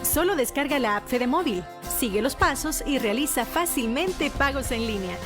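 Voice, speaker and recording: A woman's voice: sits high, expressive, normal speed. A woman voicing a radio-style advertisement with an upbeat, polished read. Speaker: commercial announcer. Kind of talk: scripted advertisement. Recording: music bed under the voice.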